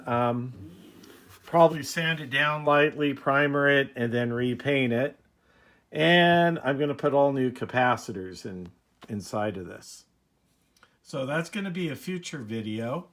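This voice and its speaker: Guy doing a laid back hobby video, medium pitch, kinda rambles as he explains. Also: a bit bright. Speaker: radio hobbyist, video presenter. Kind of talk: casual demonstration talk.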